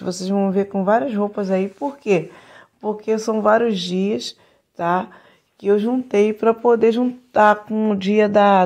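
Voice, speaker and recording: Chatty woman vlogging from home, gravelly. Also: mid-range voice, mellow. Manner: chatty, repeatedly says "gente", talks to viewers while unboxing. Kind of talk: casual home vlog. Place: home kitchen under renovation, indoors.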